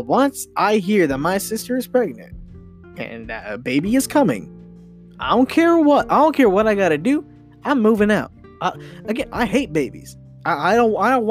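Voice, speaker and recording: A young man hosting a podcast in a rambling, opinionated monologue, halting and repeating phrases with emphatic, mildly sweary delivery. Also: high-pitched. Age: young adult. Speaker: podcast host. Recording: guitar music behind the voice. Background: guitar music.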